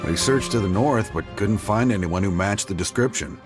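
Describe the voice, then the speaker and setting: A dutiful soldier, played by a man, brisk and to the point in a video game. His voice is low. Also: over music, close to an everyday voice.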